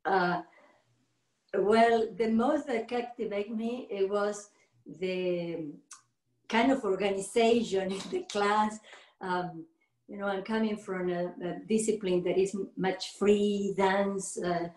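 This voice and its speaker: A woman's voice: medium pitch, steady tone, a little mellow. Middle-aged Argentine woman, Spanish accent, roomy sound. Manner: halting second-language English with frequent restarts and fillers; laughs often. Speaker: yoga teacher, interview guest. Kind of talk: casual interview conversation. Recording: roomy echo.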